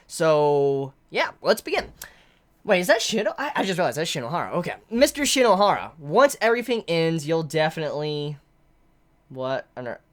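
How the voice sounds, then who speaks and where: A woman giving a chatty, excitable live manga reaction while congested from a head cold. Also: mid-range voice. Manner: excitable, chatty, exclaims often.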